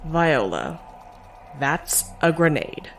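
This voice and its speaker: A woman playing a calm, needling mentor in an audio drama, speaking in a wry, probing way. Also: sits low, very slow, slight background noise, heavily acted. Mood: measured.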